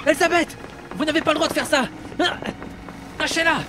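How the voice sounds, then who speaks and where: Man doing a shrill panicky young sailor. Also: fairly even intonation, fairly quick, heavy background noise. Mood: outraged. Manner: shrill and panicky, blurting out insults. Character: frantic young male sailor hero. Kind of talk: amateur parody audio drama.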